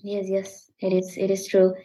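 A woman from Mexico with a Mexican accent, a guest on a podcast, speaking haltingly and repeating words as she restarts her sentences. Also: mid-range voice, completely flat delivery, warm tone, low-quality recording. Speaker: guest, content creator. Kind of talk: casual interview chat.

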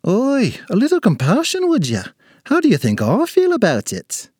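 A man's voice: high voice, huge pitch swings, normal speed, darkish tone, breathy edge, no echo, studio quality, voice pushed up, full character voice. A man voicing a little forgetful, feisty leprechaun with an Irish accent in a storybook tale. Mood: indignant. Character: little red-bearded male leprechaun, forgetful, feisty and chatty.

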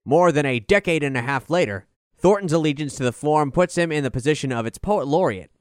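A man's voice: on the high side, expressive, a little fast, no echo. Man reading a scripted review, dry close sound. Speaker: album review reader. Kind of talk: scripted narration.

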